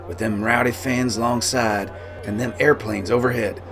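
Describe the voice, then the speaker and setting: Man, mid-pitched, folksy cowboy-style storytelling, audiobook read, music underneath. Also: fairly even intonation, normal speed. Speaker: author reading his own novel. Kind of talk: first-person audiobook narration in folksy cowboy dialect.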